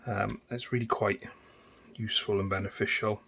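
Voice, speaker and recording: A man with a Welsh accent guides viewers through painting a model in a low, easy voice. He talks casually, pausing on fillers and restarting sentences as he works. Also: gravelly, slightly rough. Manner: relaxed, chatty, with frequent fillers and restarts. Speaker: miniature painting tutor. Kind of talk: casual step-by-step tutorial narration.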